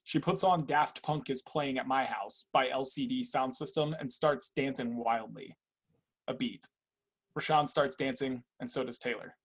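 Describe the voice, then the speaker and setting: Husky man narrating stage directions. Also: mid-range voice, steady tone, moderate pace. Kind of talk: scripted narration of a play.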